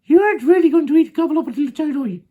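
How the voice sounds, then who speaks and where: A man voicing an excitable, indignant little monkey with an agitated, squealing delivery in a children's storybook. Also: extremely high pitch, rapid-fire, phone-quality sound, voice pushed up. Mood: alarmed, indignant.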